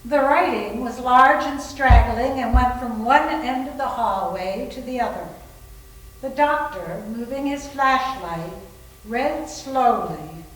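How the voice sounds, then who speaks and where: A woman narrates a scripted story at an unhurried pace. Her voice is on the high side, heard from a distance in a very echoey room. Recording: very echoey room, distant mic, faint noise.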